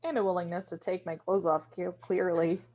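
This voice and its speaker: A woman's voice: on the low side, steady tone, a little fast, mellow, slight background noise, lightly acted. A woman playing a nervous, sardonic young office worker in a screenplay table read, speaking in a casual, wry way. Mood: wry, tentative.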